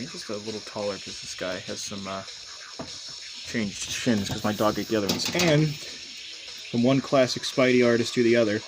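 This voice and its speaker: Male hobby reviewer, mid-pitched, casual and hesitant, rambling delivery, faint background noise. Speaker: toy reviewer, channel host. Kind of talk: casual unscripted review commentary.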